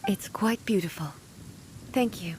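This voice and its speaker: A woman playing a calm, regal shogun in a video game, speaking in a formal, measured, solemn way. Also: medium pitch, a little hiss or hum, lightly acted. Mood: quietly appreciative.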